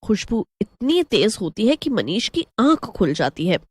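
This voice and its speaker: A woman's voice: mid-range voice, sing-song, unhurried, slightly rough. A woman narrating a scripted story as the storyteller.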